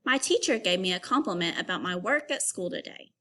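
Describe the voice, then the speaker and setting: A woman teacher reading an informational text aloud to young children, pausing to give instructions and put questions to them. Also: fairly low pitch, lively intonation, bright, forceful tone.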